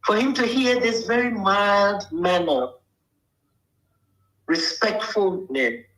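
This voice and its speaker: A woman's voice: medium pitch, slow, fairly bright tone, roomy sound, low-quality recording. An older woman from Liberia, speaking bluntly and emphatically as an interview guest, often checking that she is understood. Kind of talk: casual interview talk.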